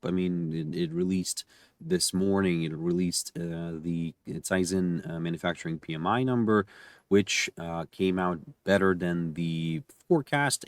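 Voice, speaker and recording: Very deep-voiced man with a cold. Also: no echo. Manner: halting, repeats words and phrases. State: voice deepened by a cold. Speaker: market analyst hosting a live stream. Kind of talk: unscripted live technical market commentary.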